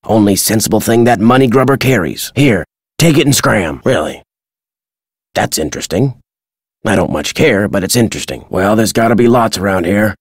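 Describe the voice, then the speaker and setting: An older American man voicing a crotchety retired birdwatcher in a video game, folksy and gruff with a husky, dry delivery. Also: on the low side, animated, moderate pace, no echo. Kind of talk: video game character dialogue.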